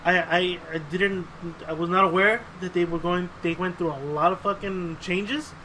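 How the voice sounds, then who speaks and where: Male podcast host, high-pitched, casual and sweary, emphatic repeats, faint noise behind him. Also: steady tone, moderate pace, bright, forceful tone, low-quality recording. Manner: profane, emphatic, repeats phrases. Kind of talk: casual chat.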